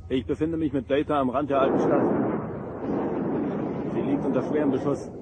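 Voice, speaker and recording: A man playing a decisive, dutiful starship first officer in an audio drama, brisk and military. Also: mid-range voice, fairly even intonation, natural voice. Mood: brisk reporting. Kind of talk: German science fiction audio drama acting. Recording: noisy scene with engine-like ambience.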